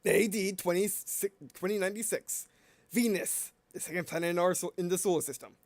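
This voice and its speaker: A man giving casual, halting commentary as he plays a game, rambling a little and repeating his phrases. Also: high voice, fairly even intonation. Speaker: gameplay video host. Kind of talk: casual gameplay commentary, sometimes reading game text aloud.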